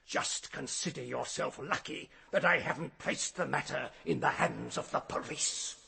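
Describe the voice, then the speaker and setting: An actor playing an outraged, overbearing middle-aged headmaster in a radio drama, an English man with a strained, gravelly voice and a domineering, clipped delivery. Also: mid-range voice, normal speed, pushed and bright, heavily acted. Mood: outraged, scornful. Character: outraged, overbearing bullying male English boys' school headmaster.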